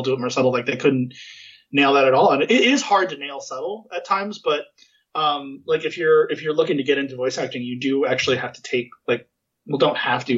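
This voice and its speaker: A man's voice: mid-range voice, fairly bright tone. A man hosting a podcast, chatting casually and rambling with lots of fillers and restarts. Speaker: podcast host. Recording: muffled lo-fi sound.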